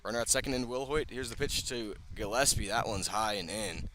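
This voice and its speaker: A man calling live baseball play-by-play for radio, describing the action in measured phrases with dramatic emphasis. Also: medium pitch, pushed and bright, a little hiss or hum, poor audio. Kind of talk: live radio sports commentary. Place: outdoor ballpark broadcast.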